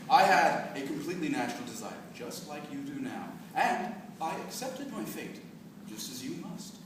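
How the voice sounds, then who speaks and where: A man's voice: fairly high pitch, very animated, moderate pace. Smug seductive zombie played by a man, swooping voice. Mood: smug, menacing. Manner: theatrical, swinging from silky to gloating. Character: smug seductive male zombie cult convert, grandiose and menacing. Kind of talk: staged reading of a dark comic play. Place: live stage reading in a hall. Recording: echoey hall from far off, noisy.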